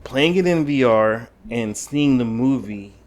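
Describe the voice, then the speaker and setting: A man's voice: medium pitch, expressive, a little slow. A man talking on a podcast in casual chat, blunt in his opinions and stumbling over restarts.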